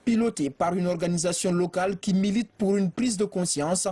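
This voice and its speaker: A man reading a news report voice-over in a steady, formal way. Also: sits high, moderate pace, slightly rough. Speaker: field reporter.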